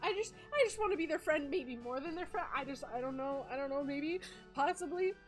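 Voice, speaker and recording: A woman's voice: sits high, brisk, faint music behind, close to an everyday voice. Woman doing a jittery cartoon spider girl. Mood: anxious. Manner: anxious, rambling, repeating herself.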